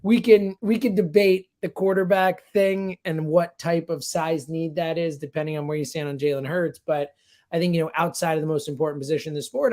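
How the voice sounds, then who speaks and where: A fired-up man, a sports radio guest, giving emphatic, conversational commentary. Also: sits high.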